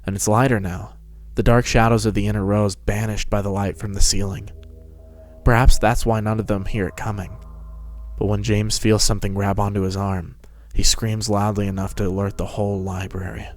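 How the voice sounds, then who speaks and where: A man narrating a fan-fiction story as a scripted dramatic reading. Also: lowish voice.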